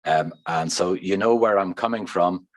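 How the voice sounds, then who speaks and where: Deep-voiced Irish man, frank and earnest. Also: moderate pace. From Ireland. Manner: earnest, frank, lists points methodically. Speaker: researcher giving a conference response. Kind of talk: conference panel remarks.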